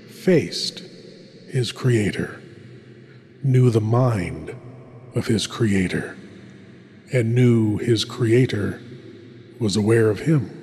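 A man hosting a horror show, delivering a scripted monologue in a solemn, theatrical, measured way with pauses. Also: lowish voice, a little mellow. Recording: long cavernous reverb. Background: music.

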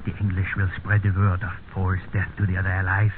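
An actor playing a cold, calculating Nazi general with a commanding manner in a 1950s radio drama. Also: male voice, deep voice, completely flat delivery, a little fast, natural voice. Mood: pleased, calculating. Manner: commanding, condescending. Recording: very tinny, noisy 1950s radio recording.

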